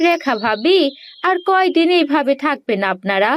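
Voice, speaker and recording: A woman's voice: high-pitched, very animated, moderate pace, a little hiss or hum. A woman voicing a nosy, persuasive village neighbour in a cartoon, pressing advice on the listener. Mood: pressing, advising. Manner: pressing, advising. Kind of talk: Bengali animated fairy-tale voice acting.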